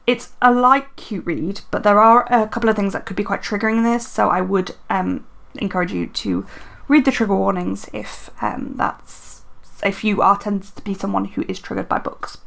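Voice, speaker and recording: Woman, croaky, gravelly, chatty and rambling, lots of fillers. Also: medium pitch, steady tone, moderate pace, fairly bright tone. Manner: chatty, rambling, many fillers and self-corrections. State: slightly croaky, mild sore throat. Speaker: planner and book vlogger. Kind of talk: casual chat while decorating a planner.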